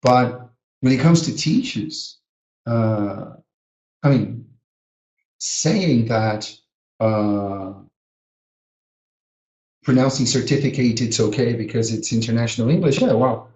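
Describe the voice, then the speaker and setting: A man's voice: medium pitch, slow, pauses often, darkish tone, echoey room, low-quality recording. A man from Brazil, a native Portuguese speaker, teaching a live webinar lesson and drilling words with earnest emphasis. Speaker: teacher educator. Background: a small child heard now and then.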